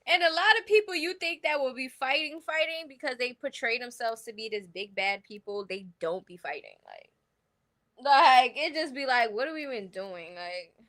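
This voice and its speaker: A woman's voice: high-pitched, lively intonation, normal speed, pushed and bright. A woman with a New York accent chatting casually on a livestream, giving blunt, opinionated gossip commentary in slangy speech. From New York City, United States. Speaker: livestream host.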